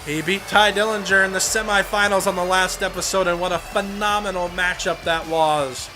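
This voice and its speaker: A young man with a hoarse voice from a cold, commentating a wrestling video game play-by-play and rising to shouts on big moves. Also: sits high, steady tone, normal speed, over music. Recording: home recording with clear background noise.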